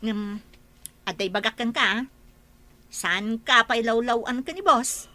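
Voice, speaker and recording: A woman voicing a sharp-tongued nanny and housekeeper who scolds and mocks the newcomers. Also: fairly high pitch, measured pace, no echo. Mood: snide. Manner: scolding, sarcastic.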